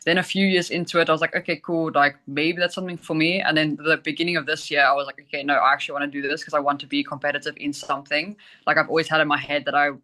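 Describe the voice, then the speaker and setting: A woman's voice: low-pitched, level tone, rapid-fire, hardly any pauses, sharp tone. A young woman, a junior bodybuilding athlete, chatting casually in an interview and filling her talk with "like". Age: young adult. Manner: chatty, peppers speech with "like".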